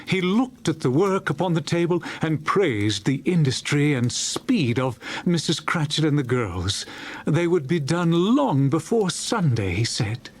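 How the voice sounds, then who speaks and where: A man narrating a dramatized story in a solemn, expressive manner. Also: fairly high pitch, unhurried, husky.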